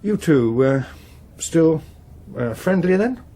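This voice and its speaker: A man's voice: a little high, animated, takes it slowly, mellow. An actor playing a protective middle-aged British father and politician in a radio drama, clipped, probing and dismissive. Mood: awkwardly prying. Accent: British English.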